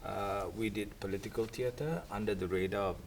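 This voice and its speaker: A man at a university event. His low voice wanders through long, reflective thoughts. He sits at a distance from the mic with faint room noise. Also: fairly even intonation, brisk. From Singapore. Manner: thoughtful, rambling, with soft laughs. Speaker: academic panelist. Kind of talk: reflective panel talk.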